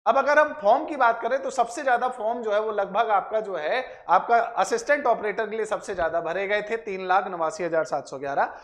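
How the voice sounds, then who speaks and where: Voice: mid-range voice, a little fast, hardly any pauses. A teacher explains things to students, repeating points and checking in with short tag questions. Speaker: exam-prep teacher and channel host.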